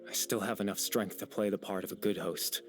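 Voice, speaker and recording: A low male voice over background music, an actor in a game role. He is a gentle, wistful young man with courteous, hesitant manners. He speaks softly, like someone resigned to fading away. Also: fairly even intonation, fairly quick, lightly acted. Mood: calm, resigned. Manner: soft-spoken, polite, wistful, hesitant. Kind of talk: video game voice acting.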